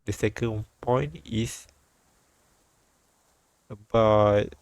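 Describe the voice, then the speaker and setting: A man streaming a live read-aloud of a visual novel, halting and repeating words as he goes. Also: on the low side, vocal fry, a crowd in the background.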